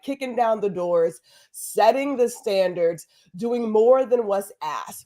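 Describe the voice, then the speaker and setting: A woman's voice: mid-range voice, dark tone. A woman guest speaking emphatically and passionately in a casual online talk show. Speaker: guest co-host. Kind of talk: casual opinionated chat.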